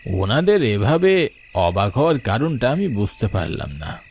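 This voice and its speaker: A man's voice: lowish voice, big pitch swings, a little slow, slight background noise. A man narrating a ghost story in the first person, in a dramatic storytelling style. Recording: night crickets and insects behind.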